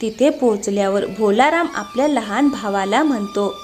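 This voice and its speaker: A woman's voice: medium pitch, moderate pace, darkish tone, a little hiss or hum. A woman storyteller reading scripted narration for a moral tale.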